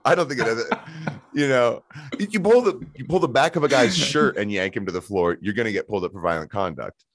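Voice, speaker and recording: A man hosting a casual football podcast, joking and animated, with frequent restarts. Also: mid-range voice, fairly quick, slight background noise. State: hoarse, voice shot in intro. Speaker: podcast host.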